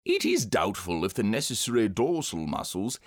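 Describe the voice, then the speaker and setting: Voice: medium pitch, sing-song, moderate pace, dry recording, studio quality. A reader voicing a self-important male owl in a children's storybook, grave, wordy and ponderous. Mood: grave, hesitant. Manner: ponderous, grave, long-worded.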